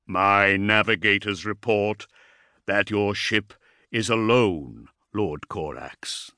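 A man voicing a huge, courteous war machine in an audiobook, intoning formally in a deep bass. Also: sharp tone, no room sound, clean studio sound. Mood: solemn, courteous. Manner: slow, solemn intoning.

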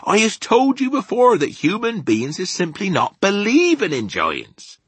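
A man voicing a big, gentle but stubborn storybook giant who talks in muddled grammar and invented words. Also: sits high, huge pitch swings, unhurried, slightly rough, dry recording, voice pushed up, full character voice. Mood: exasperated. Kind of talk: children's storybook character reading.

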